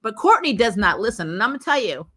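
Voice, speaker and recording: A woman hosting a casual review livestream, chatting to viewers in an animated, opinionated and emphatic way. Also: fairly high pitch. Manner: animated, opinionated, quick to laugh. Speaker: host of a TV show review livestream. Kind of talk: casual livestream chat, reacting to episodes and reading viewer comments.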